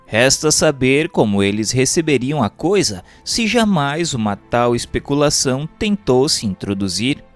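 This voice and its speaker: A Brazilian man narrates a formal written text in a mid-range voice. His reading is measured and scripted, like a chapter of a treatise read aloud. Music plays behind him. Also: mid-range voice. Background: background music.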